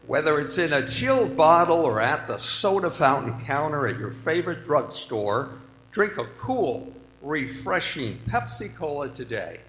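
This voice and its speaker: A man announcing and hosting in a formal, measured old-time radio style. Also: on the high side, big pitch swings, fairly bright tone, a little hiss or hum. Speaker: announcer and host. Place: performing arts center stage. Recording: roomy live stage recording with music. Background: music.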